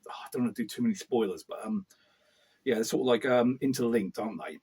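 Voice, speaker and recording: A man's voice: fast, darkish tone, husky. A man interviewing an author in a playful, joking way. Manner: playful, jokey, swings up and down in pitch. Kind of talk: casual, joking interview chat.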